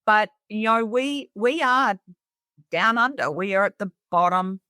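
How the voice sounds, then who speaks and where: A woman's voice: mid-range voice, lively intonation, unhurried, sharp tone, dry recording, clean studio sound. A middle-aged woman with a New Zealand accent, telling her own story conversationally as an interview guest. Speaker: interview guest, cabin resort owner.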